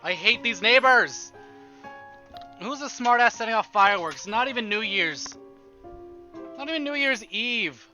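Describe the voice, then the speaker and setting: A man livestreaming a game with casual, expressive commentary, swearing freely and muttering to himself as he talks to chat. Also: very high voice, measured pace, pauses often, faint music behind. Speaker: live streamer.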